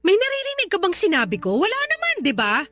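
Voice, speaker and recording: A woman's voice: very high voice, huge pitch swings, fast talker, pushed and bright, exaggerated voice. A woman playing an exasperated, sarcastic young working mother who scolds her partner in a Tagalog radio drama. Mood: accusing, sarcastic. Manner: nagging, sarcastic. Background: background music.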